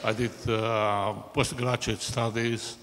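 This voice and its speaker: A man's voice: medium pitch, level tone, takes it slowly, a bit bright. An older man with a Greek accent and Greek as his first language, introducing himself with frequent hesitations. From Greece. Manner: many hesitations, uh before phrases. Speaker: panelist. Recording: echoey hall.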